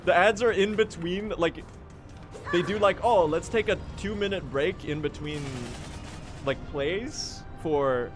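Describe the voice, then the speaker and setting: Swedish guy streaming, high lively voice, jokes at himself and yells at the game, loud game music under him. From Sweden. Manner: jokey, self-mocking, bursts into yelling at the game. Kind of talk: live gaming stream chat.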